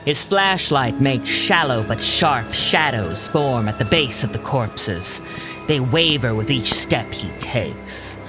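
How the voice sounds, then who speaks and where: A man narrating an audio drama in a deliberate, suspenseful scripted storytelling style. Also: medium pitch, animated, over music, poor audio.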